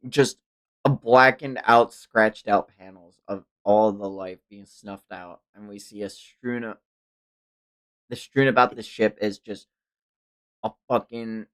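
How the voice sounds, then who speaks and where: A man's voice: lowish voice, fairly bright tone, clean tone, rough recording. A man hosting a livestream manga read-along, narrating dramatically and dropping into informal, sweary commentary. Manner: profane, informal asides. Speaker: livestream host and reader.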